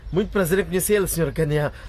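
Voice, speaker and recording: Quick-talking, polite schoolboy. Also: male voice, fairly high pitch, darkish tone, husky. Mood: polite, flustered. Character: polite, deferential schoolboy, flustered. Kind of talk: radio drama acting.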